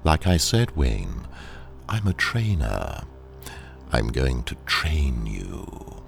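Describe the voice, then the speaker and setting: Ruthless corporate trainer, audio drama, slow delivery, low creaky voice, polite menace, condescending, faint music behind. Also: male voice, huge pitch swings, full character voice. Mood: coolly sinister.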